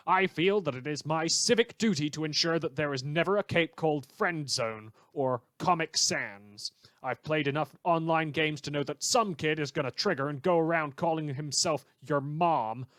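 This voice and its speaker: Male actor as self-important crank listener reciting his letter, earnest, formal, lightly high pitch, dry sound. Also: fairly bright tone, no echo. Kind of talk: letter read aloud in comedic audio drama.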